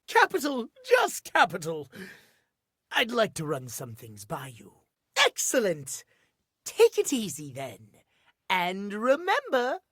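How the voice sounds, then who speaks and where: A fussy male clock from a video game, prim and punctual to a fault. His voice is high for a man and swings theatrically up and down. He speaks in measured, formal, old-fashioned phrases, picking his pace with care. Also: a bit bright, no echo, clean studio sound, full character voice. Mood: cheerful, courteous. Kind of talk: video game character acting.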